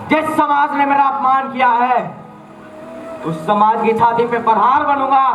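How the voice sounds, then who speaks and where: A young man playing a proud, defiant low-born warrior in a mythological stage play, speaking in an earnest, declamatory way. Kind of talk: stage drama in formal, declamatory Hindi. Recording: echoey stage recording with loud music.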